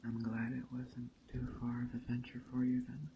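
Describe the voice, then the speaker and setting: A man playing a calm, hospitable witch of a woodland coven in a gentle, unhurried ASMR roleplay that drifts into soft whispers. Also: medium pitch, dull audio, background noise, close to an everyday voice. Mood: welcoming, calm. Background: rain and forest ambience.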